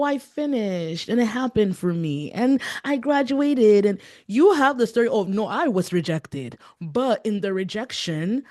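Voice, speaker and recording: A woman's voice: on the low side, dark tone. Encouraging woman interviewer. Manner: encouraging, frequent affirming murmurs. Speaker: podcast host. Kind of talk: interview conversation.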